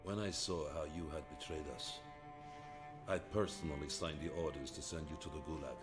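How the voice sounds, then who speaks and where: A man acting as a stern, menacing Soviet officer in a video game cutscene, speaking with measured authority. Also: very deep voice, fairly even intonation, over music. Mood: quiet, grave.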